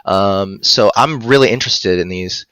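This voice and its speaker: Low-voiced man on a dry headset mic. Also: normal speed, pushed and bright, clean tone, no room sound. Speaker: stream guest. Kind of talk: casual chat. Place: room at home, video call.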